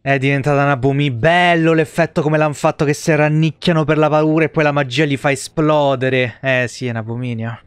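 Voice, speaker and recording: A man's voice: fairly high pitch, steady tone, fairly quick, few pauses, clear, resonant tone, no room sound, studio quality. A man in his thirties casually commenting on a game live, in slangy speech with free swearing. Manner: crude, slangy, swears freely. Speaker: game streamer. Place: small room at home, streaming setup. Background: game music.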